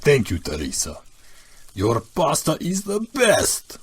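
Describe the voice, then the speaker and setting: A man playing a hard, confident militia lieutenant in an audio drama, reporting in a measured, assured way. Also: fairly high pitch, wildly swooping pitch, breathy edge, full character voice. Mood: pleased.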